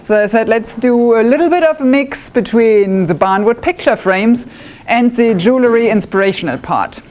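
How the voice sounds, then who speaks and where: A woman's voice: a little high, moderate pace, darkish tone. A woman from northwest Germany with a German accent, giving a chatty, self-deprecating talk to a woodworking club audience. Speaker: club presenter. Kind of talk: live demonstration talk. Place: club meeting room with live audience.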